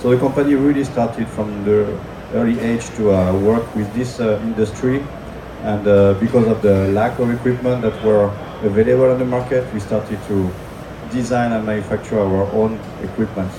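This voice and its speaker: A man's voice: lowish voice, fairly even intonation, moderate pace. A man with a French accent giving a technical conference presentation, hesitant, full of filler sounds and restarts. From France. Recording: echoey room from far off, noisy.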